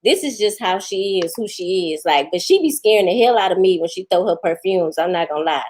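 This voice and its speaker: A woman's voice: on the low side, animated, brisk, darkish tone. A woman, chatting casually with viewers on a live stream, laughing often and talking back to them. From Houston, Texas. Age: 40s. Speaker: vlogger answering live chat.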